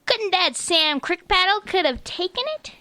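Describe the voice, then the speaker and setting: A woman's voice: extremely high pitch, lively intonation, normal speed, bright, forceful tone, heavily acted. A woman voicing an adoring yes-man assistant who fawns on the boss, eager to please, in a comedy radio play.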